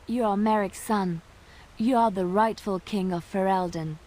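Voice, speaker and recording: A woman's voice: medium pitch, a little slow, a little mellow, natural voice, poor audio. A woman voicing a sly, frank adventuring companion in a video game, dry and assured in manner.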